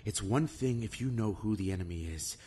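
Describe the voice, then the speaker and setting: A man's voice: fairly low pitch, a little fast, mellow, slightly rough. An actor playing a stern, curt male lieutenant who gives blunt orders and swears in a fan audio drama.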